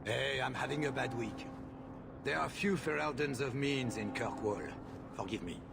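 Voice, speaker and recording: An actor voicing a haughty, salesmanlike shopkeeper in a video game. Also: male voice, mid-range voice, moderate pace, gravelly. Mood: ingratiating. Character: surly, haughty shopkeeper turning ingratiating. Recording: noisy game capture with ambience.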